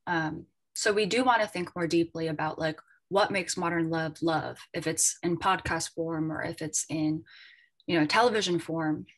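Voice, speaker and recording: Reflective woman with a slightly low voice. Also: steady tone, moderate pace. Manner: reflective, informal, tentative phrasing. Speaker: editor, panel guest at a literary festival. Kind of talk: thoughtful conversational panel talk.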